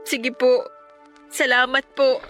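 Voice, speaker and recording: A woman playing a gentle, polite young girlfriend in a Filipino radio drama, earnest and deferential, with a Filipino accent. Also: sits high, monotone, slow pace, faint music behind. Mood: meek, upset.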